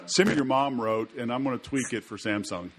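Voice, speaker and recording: A man's voice: medium pitch, brisk. Chatty podcast host, man. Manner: loose, chatty, joking asides. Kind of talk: casual interview chat.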